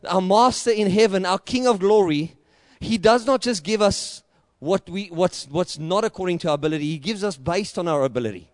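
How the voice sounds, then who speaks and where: A middle-aged South African man preaches with a high, ringing voice, stacking repeated phrases into loud, emphatic climaxes. His Afrikaans-rooted accent colors every line, and the sound is close and dry with no room around it. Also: no room sound. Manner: passionate preaching, rising to loud emphatic peaks; repetitive rhetorical build-ups. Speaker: pastor. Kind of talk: sermon.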